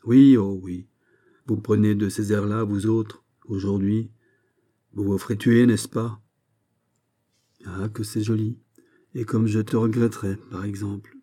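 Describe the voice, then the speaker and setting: A man voicing a gruff, teasing musketeer captain. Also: on the low side, mellow. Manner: blustering, exclamatory, mocking. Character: gruff, teasing middle-aged musketeer captain, fatherly and sardonic. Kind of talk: dramatised audiobook of a historical novel.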